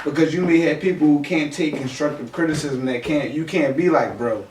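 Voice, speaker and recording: Higher-pitched young man in an echoey room. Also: brisk, darkish tone. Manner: slangy, repeats "you know what I mean". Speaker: podcast co-host. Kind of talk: casual podcast chat. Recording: echoey room, distant mic, faint noise.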